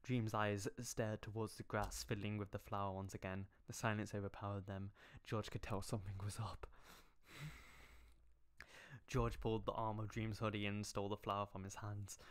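Low-voiced sarcastic man reading aloud. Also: level tone, normal speed, clear, resonant tone. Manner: sarcastic, stumbling over words. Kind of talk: mocking fan fiction read-aloud with asides.

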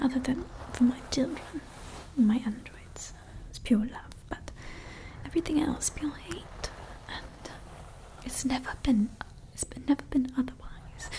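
A woman's voice: high voice, dark tone, poor audio. A woman whispering as an evil scientist. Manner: whispered throughout, rambling with fillers. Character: scheming evil woman scientist, motherly to her android. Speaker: ASMR creator. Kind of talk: ASMR roleplay.

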